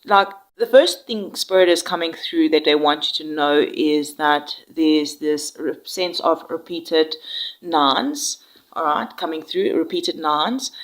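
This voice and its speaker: A woman's voice: low voice, poor audio. A woman with a cold giving a soothing tarot reading, slightly nasal, with frequent fillers. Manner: soft, soothing spiritual address with frequent fillers. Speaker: tarot reader.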